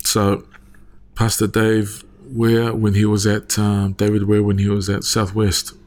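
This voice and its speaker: A man's voice: lowish voice, completely flat delivery, a little slow, darkish tone. A man in his forties telling his story in a rambling, casual way, often asking "you know what I mean?". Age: 40s. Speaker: guest sharing his church story. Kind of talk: casual conversational testimony.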